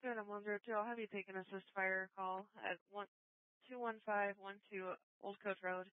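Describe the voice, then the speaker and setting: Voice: fairly even intonation, brisk, clean tone, no room sound. A police dispatcher relaying addresses and codes over the radio in a clipped, procedural way. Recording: muffled low-fi police radio audio.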